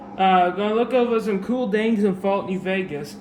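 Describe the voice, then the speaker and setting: A man with a sore, dry throat and a slightly raspy voice, explaining a game trick in a casual, rambling way full of fillers and restarts, recorded on a camera mic. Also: high-pitched, unhurried, a little mellow. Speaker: gaming video creator. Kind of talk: casual game tutorial commentary. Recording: echoey room with background noise.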